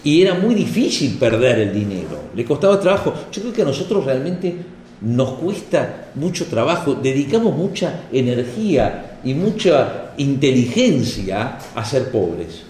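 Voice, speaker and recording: A man's voice: mid-range voice, very animated, measured pace, darkish tone, slight background noise. A man from Argentina giving a reflective talk to an audience, speaking in a discursive way that builds to rhetorical questions. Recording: echoey room with faint ambience.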